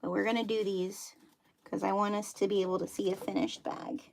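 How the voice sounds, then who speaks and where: A woman's voice: medium pitch, flat delivery, fairly quick, gravelly, a little hiss or hum, rough recording. Chatty woman with a Dutchy accent. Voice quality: chatty, self-deprecating, talks while working. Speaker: sewing livestream host. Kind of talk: casual sewing demo chat.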